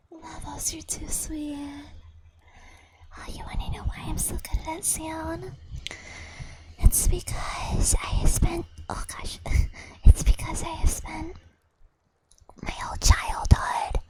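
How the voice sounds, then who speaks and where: A young woman whispering softly into a binaural microphone on an ASMR livestream, greeting chat viewers in a giggly, self-deprecating way. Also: high-pitched, poor audio. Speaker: ASMR streamer. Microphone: binaural dummy-head mic.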